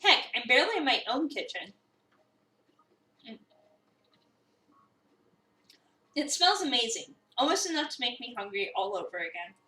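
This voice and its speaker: A woman reading a visual novel as an eager, awkward new cafe employee, chatty, expressive and self-deprecating. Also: fairly high pitch, a little fast. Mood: wry, curious. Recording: roomy, a bit far from the mic.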